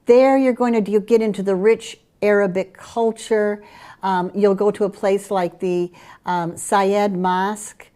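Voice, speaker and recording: A middle-aged woman from Curaçao with a Dutch Caribbean accent, presenting group trips in an eager sales pitch. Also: fairly even intonation, measured pace, dark tone, clear, resonant tone. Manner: enthusiastic sales pitch with fillers. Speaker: travel program presenter. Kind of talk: promotional chat about group tours.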